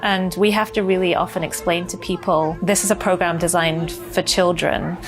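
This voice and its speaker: A woman speaks in a fairly low voice with clear music playing underneath. She explains herself in a measured documentary interview style. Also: fairly even intonation. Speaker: programme organizer.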